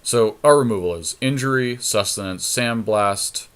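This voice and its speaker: Male podcast co-host, lower register, casual and deliberative talk about game strategy. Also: moderate pace, fairly bright tone, smooth.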